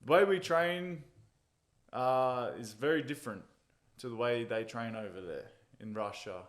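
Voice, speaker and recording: A man, an MMA fighter being interviewed, telling stories in a relaxed, casual way and chuckling at his own jokes. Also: medium pitch, measured pace.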